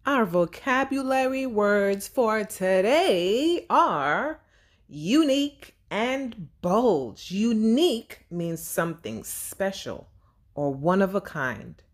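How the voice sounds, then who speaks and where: A woman teaching young children and reading a storybook aloud, speaking simply and deliberately and putting questions to her listeners. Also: mid-range voice, big pitch swings.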